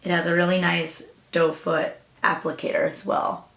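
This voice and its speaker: A young woman with a raspy voice, chatting casually through a makeup tutorial as a new beauty vlogger. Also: lowish voice, flat delivery, moderate pace, a bit bright, roomy sound. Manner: chatty, hesitant, with fillers and apologies.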